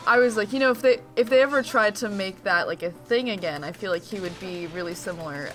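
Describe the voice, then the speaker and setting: A man co-hosting a game playthrough, chatting and telling an animated story. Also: high voice, fairly quick. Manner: animated storyteller, laughs easily. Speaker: let's play co-host. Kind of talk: casual chat over gameplay. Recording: faint game music behind.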